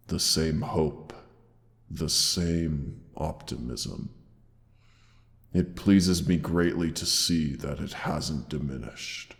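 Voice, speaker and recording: A gentle old male dragon, acted by a man in an audio drama, very low and unhurried. He speaks with noble, formal tenderness and leaves dramatic pauses. The voice rings in long, cavernous reverb. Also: creaky, exaggerated voice. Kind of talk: roleplay audio drama, scripted monologue.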